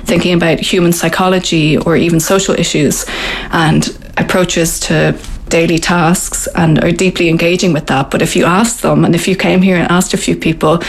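An Irish woman who grew up speaking Irish, explaining her practice in a relaxed, thoughtful way with frequent ums and restarts. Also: fairly low pitch, moderate pace. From Ireland. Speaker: interviewee, Buddhist centre member. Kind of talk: casual conversational explanation.

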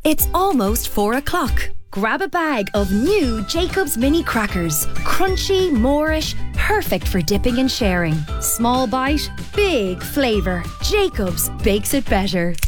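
Slow sing-song woman snack-advert voice. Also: a little high, big pitch swings, over music. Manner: sweet, drawn-out, sing-song. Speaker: advert voice-over. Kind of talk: food advert read.

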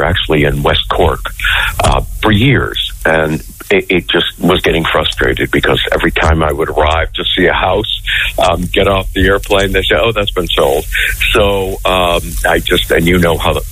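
A man's voice: rumbling low, a bit bright, slightly rough, low-quality recording. An American man from Connecticut, a guest on a call-in line, chatting in a friendly way with frequent ums. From Connecticut, USA. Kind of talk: casual interview chat.

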